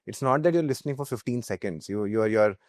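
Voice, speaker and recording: A man's voice: lowish voice, lively intonation, fast, darkish tone, dry recording, studio quality. A man with an Indian accent, a startup founder telling a story casually in an interview, repeating phrases and checking in with "right?".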